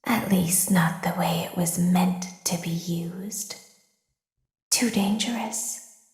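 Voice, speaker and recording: A woman voicing a sinister, girlish female animatronic who talks in a soft, menacing whisper. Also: lowish voice, fairly even intonation, takes it slowly, echoey room. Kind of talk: fan voice acting of game lines.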